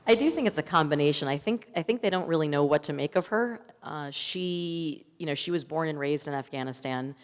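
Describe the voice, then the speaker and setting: A woman's voice: deep voice, brisk, hardly any pauses. A woman speaking conversationally as a film director answering audience questions, telling stories informally. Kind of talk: festival Q&A, conversational storytelling. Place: large reverberant hall at a public event. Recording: echoey hall with long reverb.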